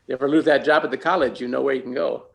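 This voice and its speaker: Creaky-voiced man leading a service. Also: mid-range voice, steady tone, racing. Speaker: service leader. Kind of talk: informal spoken hosting of a church service.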